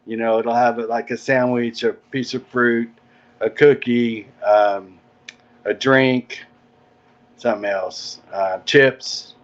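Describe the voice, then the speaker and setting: A man with a medium-pitched voice talks casually as a guest, laying out plans like an organizer. The audio is dull and lo-fi. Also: steady tone, slow. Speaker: interview guest, event organizer. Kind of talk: casual chat explaining event plans.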